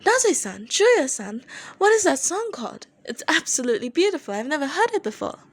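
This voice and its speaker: A woman's voice: high voice, very animated, brisk, voice pushed up. A woman playing an earnest, easily amazed young man in a band, polite and eager, in an audio story. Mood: admiring.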